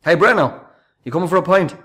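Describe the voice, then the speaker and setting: A man's voice: high-pitched, lively intonation, quick pace, fairly bright tone. An actor playing a reluctant, worried Irish workman and hesitant accomplice in a radio play. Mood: casual. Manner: doubtful, worried.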